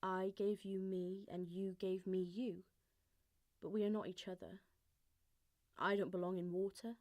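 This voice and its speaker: A woman performs spoken-word poetry at a middle pitch. Her delivery is very level, with little rise or fall. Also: fairly even intonation, a little slow, a little mellow. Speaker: poetry reader.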